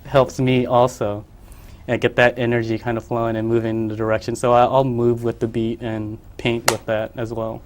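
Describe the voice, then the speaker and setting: A young man from the Philippines, a guest on a local TV studio interview. He speaks at a middle pitch, pausing often, restarting his sentences and leaning on fillers as he searches for words. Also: level tone, noisy background. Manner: hesitant, many fillers and restarts. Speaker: artist guest. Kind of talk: casual interview.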